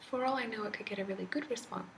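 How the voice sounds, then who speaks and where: A woman with an Australian accent whispering softly into a close mic for an ASMR talking video, rambling with frequent hesitant ums. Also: medium pitch, racing, gravelly. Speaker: ASMR creator. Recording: steady background noise.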